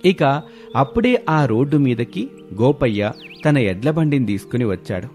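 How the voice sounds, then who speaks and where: A man's voice: mid-range voice, big pitch swings, normal speed, a little mellow, faint music behind. A man reading a scripted children's moral story as narrator.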